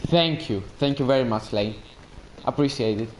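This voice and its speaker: A man's voice: mid-range voice, moderate pace, slight background noise, rough recording. Chatty Greek-accented streamer guy. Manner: chatty, joking, reads chat aloud. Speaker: game streamer. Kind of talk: casual live-stream chat.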